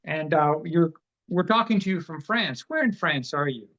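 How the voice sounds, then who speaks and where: A man from Canada hosting an author interview podcast, talking casually with lots of fillers and letting his sentences trail off quietly. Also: fairly high pitch, lo-fi sound. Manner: relaxed, halting, many fillers, trails off quietly. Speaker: podcast host and reviewer. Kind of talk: conversational interview. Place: home studio in Sweden.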